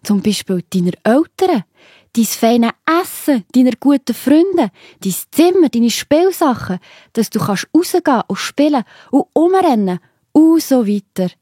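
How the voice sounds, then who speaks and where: A woman with a Swiss German accent, gently narrating and explaining a Bible story to children. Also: sits high, sing-song, a little slow, slightly rough, no echo, clean studio sound.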